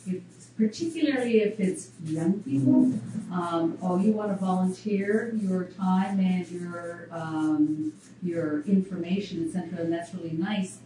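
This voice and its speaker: Mellow woman heard in an echoey room. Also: on the low side. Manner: earnest, firm, many "um"s. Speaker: researcher. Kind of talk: panel discussion. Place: coffeehouse event room. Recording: echoey room, distant mic, faint noise.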